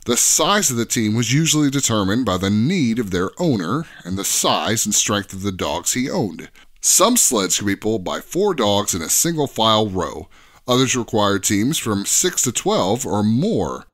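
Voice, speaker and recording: Male podcast guest expert, lower voice, very animated intonation, articulate storyteller for informative history segments. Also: normal speed. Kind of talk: informative scripted-sounding podcast explanation.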